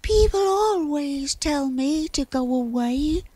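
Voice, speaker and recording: A woman's voice: high voice, lively intonation, dark tone, dry recording, voice pushed up. A woman voicing a sulky, plaintive little cloud in a children's story, drawing out its complaints. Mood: sulky, hurt. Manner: plaintive, drawn-out protesting.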